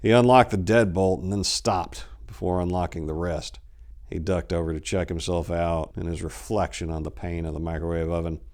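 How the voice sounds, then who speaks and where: A man's voice: deep voice, steady tone, moderate pace, darkish tone. A man in his forties giving a dramatic reading of a short story into a lapel mic. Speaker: story reader.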